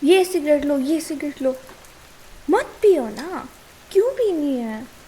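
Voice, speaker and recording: Casting: woman to play young Indian girlfriend, intimate ASMR drama. High-pitched, slow delivery, chiding and pleading, worried underneath. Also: very animated, warm tone, a little hiss or hum, exaggerated voice. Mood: scolding, frustrated. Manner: pleading and chiding, switching between Hindi and English. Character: worried, scolding young girlfriend, exasperated but caring. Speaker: ASMR roleplay creator.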